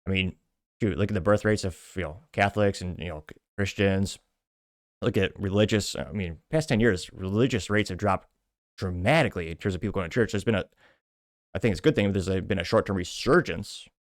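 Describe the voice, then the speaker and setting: A man's voice: sits low, fairly quick, dry recording, clean studio sound. A man with an American accent giving casual, rambling political commentary as a show host, with offhand asides.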